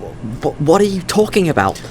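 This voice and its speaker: An actor playing a nervous, earnest young job candidate in an audio drama, halting and apologetic in his speech. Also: lowish voice, faint music behind, close to an everyday voice. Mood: panicked, disbelieving. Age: young adult.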